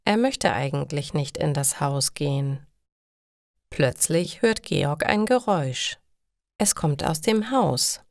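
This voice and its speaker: Slow, low-pitched woman narrating simple stories. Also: animated, no echo, clean studio sound. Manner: careful, clearly separated short sentences. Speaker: storyteller for language learners.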